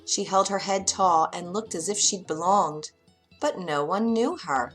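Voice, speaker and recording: A woman narrating a children's bedtime story in an expressive, dramatic way. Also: lowish voice, unhurried, soft background music.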